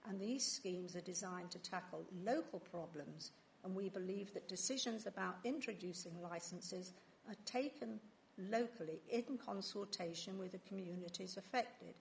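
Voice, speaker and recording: Woman, councillor, gravelly voice, faint background hiss, hesitant, formal meeting. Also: mid-range voice, moderate pace. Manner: hesitant, restarts words, earnest.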